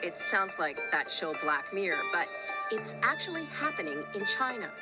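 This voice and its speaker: A woman's voice: on the low side, sing-song, moderate pace, poor audio. A woman with a North American accent narrating a scripted news explainer in a conversational style. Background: loud music bed.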